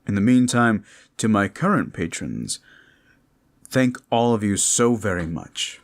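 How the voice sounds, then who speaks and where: A man who makes roleplay audio, speaking casually and a little haltingly as he thanks his listeners. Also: lowish voice, sing-song. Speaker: audio roleplay creator and performer. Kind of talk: casual outro addressed to listeners.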